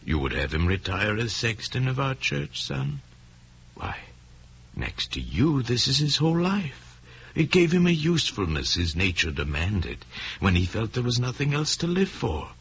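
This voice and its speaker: A man playing a kindly, fatherly village priest who speaks gently and earnestly in an old-time radio drama. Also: medium pitch, very animated, moderate pace, husky, muffled recording, pitched above the performer's own voice, exaggerated voice. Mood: grave, pleading.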